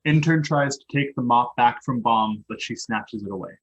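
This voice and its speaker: A man's voice: medium pitch, moderate pace, a little hiss or hum, poor audio. A man narrating stage directions as scripted reading for a play.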